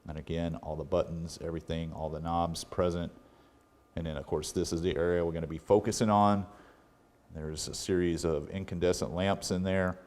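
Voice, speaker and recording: A middle-aged American man with a notably low voice talks through a hands-on repair as a technician. His delivery is casual and folksy. He explains step by step without hurry, often pausing partway through a thought. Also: little pitch movement, darkish tone. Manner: folksy, unhurried explaining with halting pauses. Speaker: electronics repair technician and video host. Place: indoor workbench.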